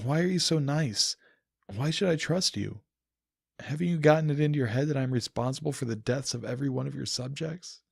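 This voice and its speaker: A man performs a bitter, grief-stricken immortal man in a dramatic audiobook. The voice is pushed high and loud, roaring with anguish, with some gravel and a dry, close sound. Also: brisk, no echo. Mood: bitter, accusing. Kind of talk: dramatic audiobook character acting.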